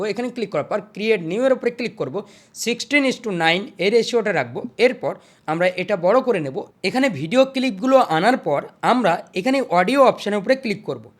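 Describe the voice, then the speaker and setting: A tutorial host narrating screen steps in an instructional way, repeating each step before moving to the next. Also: mid-range voice.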